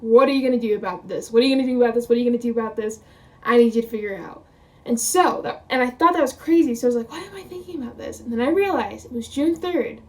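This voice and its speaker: A woman's voice: on the high side, fast, a little mellow, far from the mic. A woman of twenty talking casually to camera as a vlogger, rambling, quoting her own thoughts and laughing often. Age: 20s.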